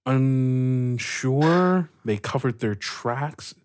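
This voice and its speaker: A man on a tabletop role-play podcast, improvising in character as a leader in measured, tentative phrases. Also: medium pitch, animated, a little mellow.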